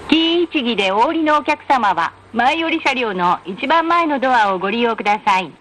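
A woman reading scripted in-car train announcements in a formal, polite, measured way with careful enunciation. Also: fairly high pitch, expressive. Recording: noisy train announcement recording.

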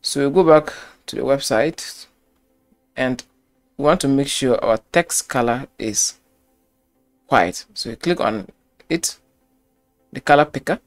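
Man, tutorial narrator, unhurried delivery, faint music underneath, methodical and repetitive. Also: mid-range voice. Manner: slow step-by-step instruction, repeats phrases. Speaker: web design tutor. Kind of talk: screen-recorded software tutorial.

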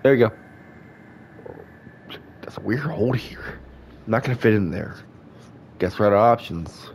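A man's voice: lowish voice, expressive, moderate pace, a little hiss or hum. A man with a stuffed-up nose, casually playing a game and reading its dialogue aloud. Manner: reads character lines in his own voice, chatty asides, congested. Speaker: gaming YouTuber.

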